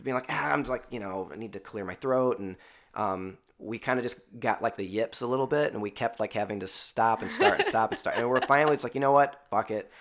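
A man in his thirties hosting a casual podcast, hoarse and raspy from a cold, rambling and stopping to start over. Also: mid-range voice, fast talker, a bit bright. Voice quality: froggy, raspy. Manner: rambling, self-interrupting, laughs often. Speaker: podcast host. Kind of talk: casual chat.

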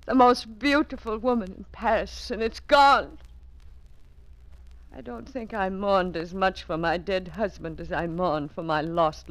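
Melodramatic, vain older widow, sighing and imperious; mid pitch, radio-play delivery. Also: female voice, no room sound, poor audio. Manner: theatrical, breathy sighs, swings between despair and command. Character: vain aging Parisian widow, melodramatic, grieving her lost beauty. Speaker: lead actress.

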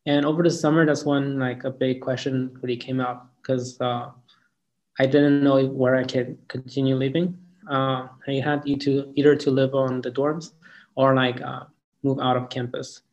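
A young man of about twenty, answering interview questions in a halting way, with lots of "like" and "um". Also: mid-range voice, flat delivery, a little mellow. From Cameroon. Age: around 20. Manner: halting, hedging with "like" and "um". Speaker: student interviewee. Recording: dull lo-fi recording.

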